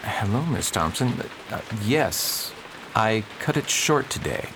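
Earnest preacher, played by a male actor, formal, conflicted, expressive intonation, heavy background noise. Radio drama. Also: fairly low pitch, slow pace, full character voice. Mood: solemn, persuasive. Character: earnest, troubled preacher, a married man, formal and restrained. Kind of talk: period stage-style radio drama acting. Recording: noisy, rain-like background hiss.

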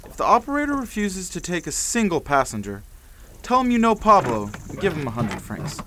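An actor playing a sly, folksy carriage driver in a radio drama, drawling and haggling. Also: male voice, high voice, sing-song, a little fast, pushed and bright, exaggerated voice. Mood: helpful.

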